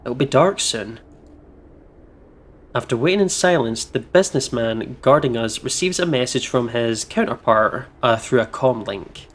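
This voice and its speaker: A man doing casual let's play commentary and reading narration aloud, expressive and playful in manner. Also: medium pitch, unhurried. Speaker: gaming streamer reading aloud.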